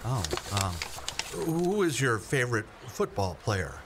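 A man's voice: medium pitch, big pitch swings, a little slow. A man playing an awkward, well-meaning family fisherman in a radio play, hesitant and plainspoken, over noisy ambience. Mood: awkward, trying. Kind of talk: naturalistic radio play acting. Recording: noisy ambience with vehicle sounds.

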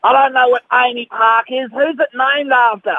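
Very high, creaky gushing showbiz gossip. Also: male voice, moderate pace, no echo, narrow, tinny audio. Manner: theatrical, cooing, excitable. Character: gushing, flamboyant, giggly male showbiz gossip reporter. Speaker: entertainment reporter. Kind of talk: comic radio character interview.

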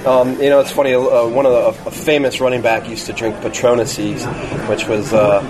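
A man's voice: mid-range voice, level tone, moderate pace, rough recording. A man talking casually in a group conversation, as a bartending guest. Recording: busy crowd noise around voices.

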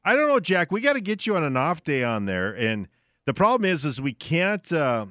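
A man's voice: fairly high pitch, sing-song, normal speed, fairly bright tone, dry recording. A man co-hosting an unscripted sports talk radio show, chatting from a studio on a close microphone.

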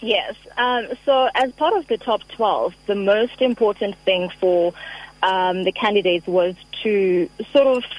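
A young South African woman in her twenties, answering interview questions at a measured pace with many um fillers. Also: mid-range voice, dull audio. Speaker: guest. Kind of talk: phone interview. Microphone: phone line.

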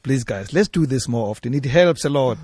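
A man hosting a radio call-in show, brisk and directive with callers. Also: mid-range voice, animated, darkish tone, a little hiss or hum. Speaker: radio talk show host. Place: radio studio.

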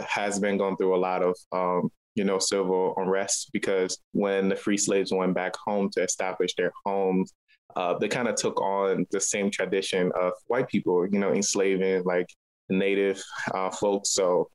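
Low-voiced, even-toned man from Liberia. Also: rough recording. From Liberia, West Africa. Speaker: interviewee, local artist. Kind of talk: conversational interview answers.

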